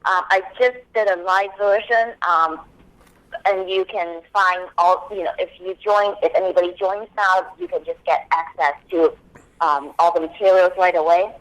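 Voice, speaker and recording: A woman's voice: lowish voice, steady tone, moderate pace, fairly bright tone, rough recording. A woman from Hong Kong whose first language is Cantonese, a copywriting expert explaining things step by step as a podcast guest. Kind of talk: podcast interview.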